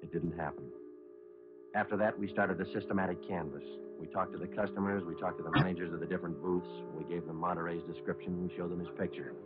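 An actor playing a terse, businesslike American police sergeant in an old radio crime drama, deadpan and matter-of-fact. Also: male voice, deep voice, fast, loud music behind the voice, natural voice. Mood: grim, matter-of-fact. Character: terse, businesslike male police sergeant narrating his manhunt. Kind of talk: 1950s radio crime drama narration and dialogue. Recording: muffled old radio recording.

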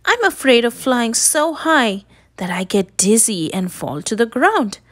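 A woman's voice: on the high side, very animated, a little slow, a bit bright, dry recording, studio quality, heavily acted. A woman voicing a nervous little storybook bird with a confiding, helpful manner.

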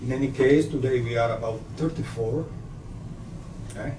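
An Italian man with an Italian accent giving a progress presentation as site manager in halting, repetitive non-native English. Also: medium pitch. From Italy. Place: small indoor meeting room. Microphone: distant camera microphone. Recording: echoey noisy meeting room from far off.

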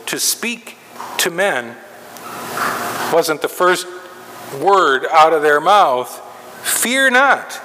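A middle-aged male pastor delivers a sermon at a pulpit, slow and emphatic, leaving long pauses between phrases. His voice sits high and bright. It carries through a large church hall with a long reverb and a faint hum of room noise. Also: sing-song. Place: large wood-paneled church sanctuary, at a pulpit. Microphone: far camera, pulpit mic.

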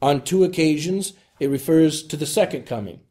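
A man delivering a scripted homily as a preacher, in solemn, deliberate phrases. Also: on the high side, dark tone.

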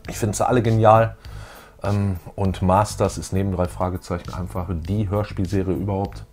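A man's voice: low-pitched, fairly even intonation, moderate pace, a little mellow, soft background music. A middle-aged man hosting a casual fan chat in a jokey, colloquial way. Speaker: YouTube show host. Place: small room full of posters and collectibles, on a couch.

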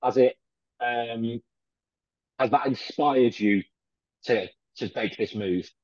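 A middle-aged man hosts over a video call at a medium pitch. He asks long, winding questions and leans on the word "obviously". The sound is dry and dull, like call audio. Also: slow pace, darkish tone, no room sound. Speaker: podcast host. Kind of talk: interviewing. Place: home room indoors.

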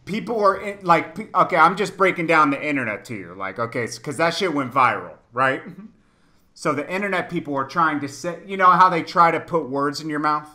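A man's voice: a little high, expressive, a little fast, pushed and bright. A man hosting a casual, slangy hip-hop interview over a video call, talkative and emphatic, often repeating phrases. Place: indoor room, on a video call.

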